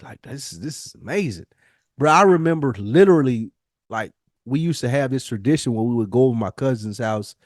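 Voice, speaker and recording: A man's voice: fairly low pitch, a little mellow, no echo. Male, forties, podcast co-host. Casual, profane storytelling with slang and repetition, recorded dry and close. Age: 40s. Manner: slangy, profane, repeats phrases for emphasis. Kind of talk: casual chat, personal storytelling.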